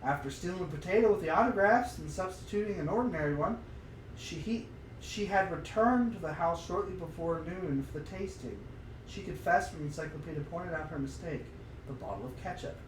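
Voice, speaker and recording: A man with a fairly high voice co-hosts a casual podcast, working through his thoughts aloud in an informal, exclamatory way. He sounds set back from the microphone in a reverberant room, with clear noise on the recording. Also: brisk. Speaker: podcast co-host. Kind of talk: casual chat, reasoning aloud and reading story passages. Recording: roomy, noisy livestream, distant from mic.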